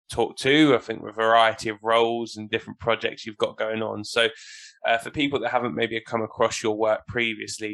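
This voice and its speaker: A man's voice: fairly low pitch, vocal fry, a bit bright. A man hosting a sports podcast, reading the intro and asking casual, hesitant questions. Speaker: podcast host.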